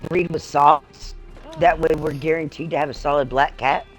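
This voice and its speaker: A woman's voice: low-pitched, fairly even intonation, normal speed. A middle-aged woman chats casually on a live stream with music playing behind her. She tells stories bluntly and voices the dialogue as she goes. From Ukraine. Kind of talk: casual chat, storytelling.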